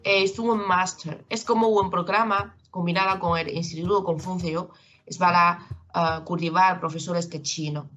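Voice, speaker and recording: Young woman, native Chinese speaker, hesitant interview guest with a lower pitch, faint music underneath. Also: steady tone, normal speed, fairly bright tone, muffled recording. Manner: hesitant, searching for words, many restarts. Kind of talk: casual interview in learner Spanish. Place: home in Galicia, video call.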